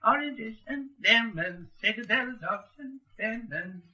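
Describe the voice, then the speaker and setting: High-voiced, doddering old shopkeeper. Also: male voice, lively intonation, a little slow, very noisy. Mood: genial, wistful. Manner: hesitant, rambling, genteel. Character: kindly, doddering old antique shopkeeper, male. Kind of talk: old-time radio drama acting. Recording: muffled vintage radio drama recording.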